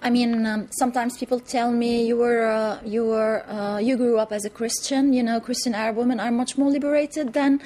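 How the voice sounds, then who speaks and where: A woman from Lebanon, answering interview questions thoughtfully, with many pauses, fillers and restarts, in a TV studio. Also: a little high, steady tone, warm tone. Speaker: interview guest, author.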